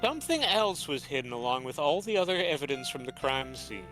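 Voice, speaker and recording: A man's voice: medium pitch, big pitch swings, natural voice. A man voicing a formal, theatrical male prosecutor. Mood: dramatic. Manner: measured, dramatic reveals. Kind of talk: fan dub of a game. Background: game music.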